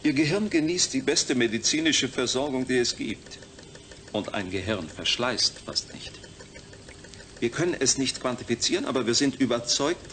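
Man playing a reassuring, mid-pitched doctor. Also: fairly bright tone, slight background noise, close to an everyday voice. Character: reassuring, faintly stern male institute doctor. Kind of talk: German radio play acting.

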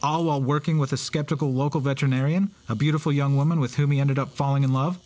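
A man's voice: a little high, fairly even intonation, quick pace, a little mellow. A man co-hosting a podcast in semi-scripted banter, with dry humour. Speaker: podcast co-host.

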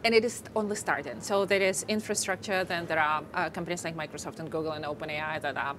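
A woman with a Ukrainian accent, a guest in a tech interview, explaining things earnestly in steady lists. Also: low voice, fast talker, background noise. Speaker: guest, company AI lead. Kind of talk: interview answers. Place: studio set on a stock exchange floor. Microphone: lapel mic.

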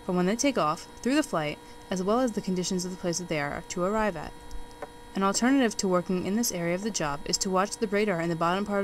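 A woman's voice: fairly low pitch, a little hiss or hum. A young woman, a student narrator, reading a formal documentary script. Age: young adult. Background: music bed.

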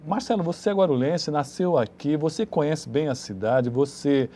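Higher-pitched mellow middle-aged Paulista male host. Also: normal speed, slightly rough. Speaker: TV talk show host. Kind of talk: interviewing guests in a studio. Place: small TV studio.

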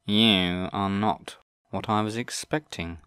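A man reading an audiobook, voicing an ancient old wizard who speaks in a slow, deliberate and formal way. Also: on the low side, steady tone, a bit bright, clear, resonant tone, dry recording. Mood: disappointed.

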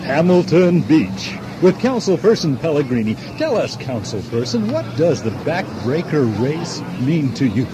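A man's voice: on the high side, big pitch swings, measured pace, few pauses. An actor playing a male radio reporter doing street interviews with formal, earnest questions. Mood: brisk, inquiring. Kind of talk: mock news radio interviewing. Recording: noisy crowded street recording.

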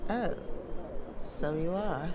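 An actress playing a prim, guarded event gatekeeper in an audio drama, formal and stiffly polite. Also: female voice, on the low side, slow pace, noisy background. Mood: coolly polite. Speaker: door greeter with guest list.

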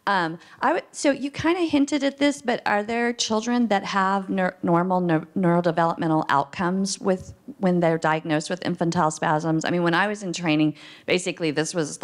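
Low-voiced woman asking from the audience. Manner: casual, with many "like" and "you know". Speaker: audience member asking a question. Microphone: handheld microphone.

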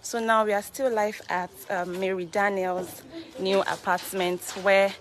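A woman reporter briskly interviewing and prompting on a live walkthrough. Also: mid-range voice, little pitch movement, slight background noise. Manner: brisk, prompting, many okays. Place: outdoor compound, then indoor rooms.

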